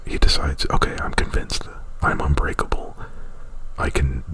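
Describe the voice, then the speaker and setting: A man whispering an ASMR retelling of a movie plot right up at the mic, in a halting, rambling, informal way. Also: bright, forceful tone. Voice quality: breathy whisper. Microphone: very close to the mic.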